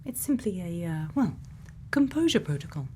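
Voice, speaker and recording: A woman playing a quirky, gently probing scientist in a soft-spoken ASMR roleplay, murmuring unhurried questions and sometimes half whispering. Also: on the low side, very animated, slight background noise. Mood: slightly evasive. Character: quirky, gently probing female scientist programming a robot's memories.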